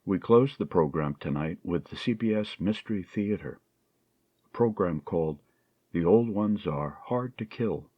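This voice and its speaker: A man's voice: low-pitched, expressive, moderate pace, no echo, dull audio. A man hosting a radio program, announcing the evening's lineup of shows.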